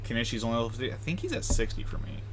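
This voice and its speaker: A man's voice: medium pitch, sing-song, very fast. Casual male gamer streaming, with keyboard noise. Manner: self-deprecating, mildly sweary. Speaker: video game streamer. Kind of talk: casual live gameplay commentary. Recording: clear keyboard and typing noise.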